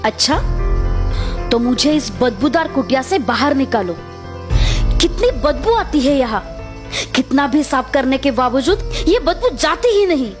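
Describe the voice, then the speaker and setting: A woman's voice: high-pitched, animated, measured pace, faint music behind. A woman voicing a greedy, discontented fisherman's wife in a children's cartoon, demanding and melodramatic. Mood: complaining.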